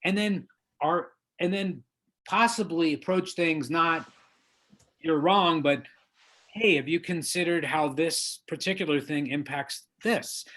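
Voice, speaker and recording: A man talks in a conversational interview, earnest and measured, with firm emphasis when he makes a point. His voice sits fairly high for a man. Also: pushed and bright. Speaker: author, lawyer and diversity trainer.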